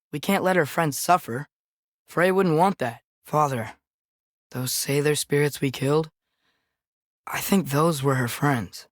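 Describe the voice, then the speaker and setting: A man's voice: a little high, dry recording, clean studio sound, close to an everyday voice. A young man voicing an earnest, curious teenage boy adventurer in a video game, with natural, inquisitive phrasing. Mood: earnest, concerned. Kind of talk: video game voice acting, companion dialogue.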